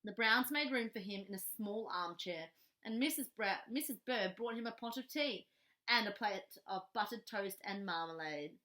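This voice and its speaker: A woman reading a children's story aloud expressively, animated and adding playful little asides. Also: medium pitch, normal speed. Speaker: storybook reader.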